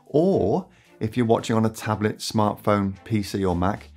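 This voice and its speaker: A man in his fifties, hosting a scripted explainer to camera in a small home studio, measured and earnest. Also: fairly low pitch, animated, darkish tone, soft background music. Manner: measured, earnest and articulate, addressing viewers directly. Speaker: host of an educational climate and energy channel. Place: small home studio with shelves and lamps, indoors.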